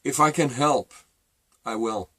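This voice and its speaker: A middle-aged man with a Danish accent, a native Danish speaker, telling a personal story to camera in a halting, reflective way. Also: mid-range voice, bright, forceful tone, no echo. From Denmark. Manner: halting and reflective, with many fillers and restarts. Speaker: vlogger.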